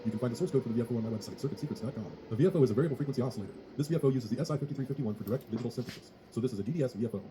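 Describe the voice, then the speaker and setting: Guy reading a tech tutorial super fast, really dark muffled tone, formal and methodical, slight noise. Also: medium pitch. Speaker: tutorial presenter. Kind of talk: scripted technical tutorial narration.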